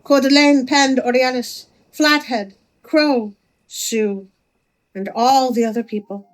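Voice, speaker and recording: A woman narrating a folk tale as a storyteller, with expressive delivery. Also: high voice, slow pace. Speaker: storyteller, host of a story channel. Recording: music under the voice.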